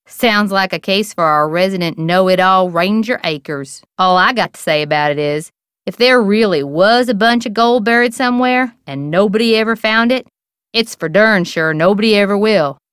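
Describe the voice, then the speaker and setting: A woman's voice: medium pitch, normal speed, a bit bright, clean tone, no echo, clean studio sound, close to an everyday voice. A woman voicing a gossipy small-town bait shop keeper in a video game, with a rural American accent and a wry, opinionated, drawling manner. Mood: folksy, chatty. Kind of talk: video game character dialogue.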